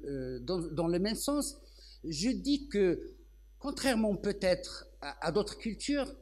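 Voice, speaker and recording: Older man, hesitant panel talk. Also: on the high side. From Morocco. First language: Arabic. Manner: thoughtful, many hesitations and restarts. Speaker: translator on a literary panel. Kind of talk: round-table talk. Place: indoor festival stage, seated on a sofa. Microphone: handheld microphone.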